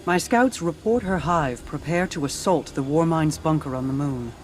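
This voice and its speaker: An actress playing a solemn, regal queen and seer in a video game, speaking formally and with measured gravity. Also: low voice, darkish tone, a little hiss or hum, lightly acted. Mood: grave. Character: regal, grave female queen and seer advising war. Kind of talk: scripted game dialogue.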